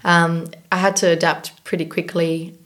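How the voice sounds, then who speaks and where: Young woman from south africa, guest on a chat, lowish voice, reflective, lots of ums. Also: level tone, moderate pace, fairly bright tone. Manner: reflective, storytelling, frequent ums and laughs. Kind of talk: casual interview conversation.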